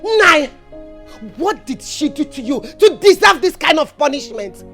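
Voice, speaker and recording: A woman's voice: sits high, very animated, a little slow, a bit bright, soft background music, full character voice. A woman playing a mother who pleads with her husband and defends her punished daughter. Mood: defiant. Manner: pleading, emphatic confrontation. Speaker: actor.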